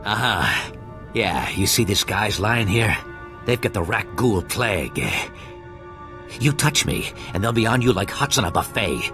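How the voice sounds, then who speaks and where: An actor playing a cagey, sarcastic pirate doctor full of bluster in a video game, with music behind. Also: male voice, on the low side, animated, measured pace. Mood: sardonic, threatening. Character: sardonic pirate doctor, cagey, bluffing, threatening lowlife.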